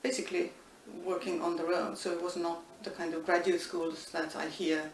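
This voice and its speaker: A middle-aged woman, thoughtfully answering interview questions with many pauses and restarts. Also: on the low side, level tone. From Estonia. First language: Estonian. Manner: thoughtful, frequent hesitations and restarts. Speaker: interviewee, linguistics professor. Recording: echoey room, distant mic, faint noise.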